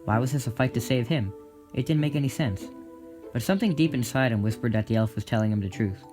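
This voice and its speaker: A man's voice: medium pitch, fast. A man narrating a scripted story in a dramatic style, with music behind his voice. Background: clear music.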